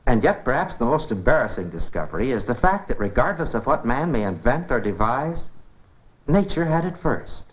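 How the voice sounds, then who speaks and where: American guy hosting an old 50s science film, really animated, chatty explainer, muffled vintage film sound. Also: medium pitch, moderate pace, slight background noise. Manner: rhetorical, explanatory, folksy and engaging. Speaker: presenter of an educational science film. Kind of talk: 1950s educational film lecture, conversational. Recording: dull 1950s film soundtrack.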